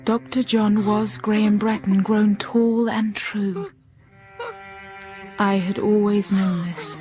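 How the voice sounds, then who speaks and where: An actress playing a reflective, melancholy heroine who narrates her past in a literary audio drama, measured and confiding. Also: medium pitch, steady tone, mellow, faint music behind, close to an everyday voice. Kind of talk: literary first-person narration.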